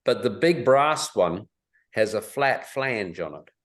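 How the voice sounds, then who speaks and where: A man's voice: on the low side, expressive, takes it slowly. A man giving casual technical advice on a group call in a matter-of-fact, instructive way. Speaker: technical adviser.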